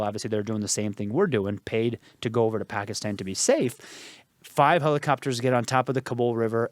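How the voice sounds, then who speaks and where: A man in his thirties from Afghanistan, a podcast guest telling his life story in a relaxed way, repeating phrases and fillers. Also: mid-range voice, dry recording. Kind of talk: casual storytelling interview. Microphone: podcast studio mic.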